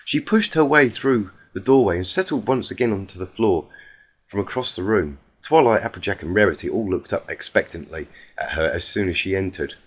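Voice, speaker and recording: A man's voice: low-pitched, animated, brisk, slightly rough. A man reading a fan fiction story aloud as dramatic narration, with an emotive, emphatic delivery.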